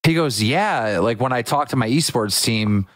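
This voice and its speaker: A young man hosting a casual podcast, an excitable storyteller who acts out what people said in quick bursts. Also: medium pitch, dry recording, studio quality. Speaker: podcast host.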